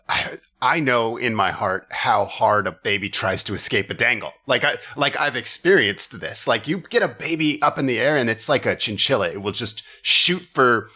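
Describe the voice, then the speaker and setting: A man's voice: lowish voice, pushed and bright. A man hosting a comedy podcast, telling stories casually with wry, profane jokes.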